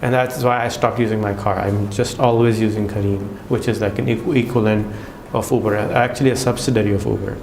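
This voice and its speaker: A man's voice: fairly low pitch, steady tone, brisk, few pauses, a little mellow, reverberant, a little hiss or hum. A man from Pakistan with a Pakistani accent, talking casually to camera with lots of fillers and restarts. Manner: chatty, many fillers and restarts. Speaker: vlogger.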